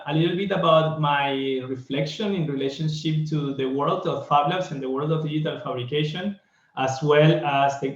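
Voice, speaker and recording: A man from Venezuela giving a reflective online conference talk over a video call, pausing often with fillers. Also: on the high side, roomy sound, muffled recording. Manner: reflective, hesitant with many fillers. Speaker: guest speaker.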